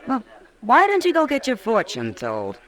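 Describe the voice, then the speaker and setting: An actress playing a teasing, gossipy frontier saloon woman in a radio western, playful and expressive. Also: a little high, moderate pace. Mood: chatty. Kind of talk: 1950s radio western acting.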